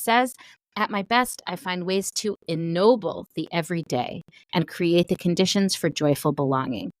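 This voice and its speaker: A woman's voice: fairly low pitch, dry recording. A woman hosting a podcast, introducing her guest and chatting enthusiastically, with frequent "um" fillers. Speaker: podcast host. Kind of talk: podcast intro and interview chat.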